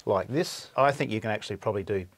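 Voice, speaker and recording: A man in his sixties with an Australian accent speaks in a fairly low voice, recorded very dry. He answers as a company director in a conversational interview, telling things anecdotally. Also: quick pace, dry recording.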